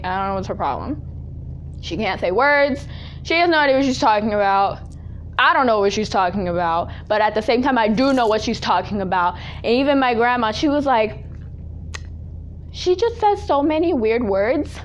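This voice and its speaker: High-pitched sassy young woman telling stories. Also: expressive, moderate pace, muffled recording. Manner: exaggerated, sassy, mimicking relatives. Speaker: storytelling vlogger. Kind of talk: casual comedic storytelling. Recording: audible background noise.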